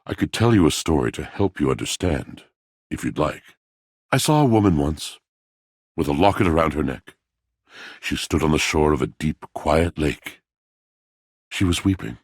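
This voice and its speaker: A melodramatic, heartbroken object come to life in a video game. His voice is exceptionally low and full of gravel, recorded dry and close. He speaks in mournful, grandiose verse, as a theatrical brooding lover. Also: very animated, moderate pace, pauses often, no room sound, full character voice. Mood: sombre storytelling.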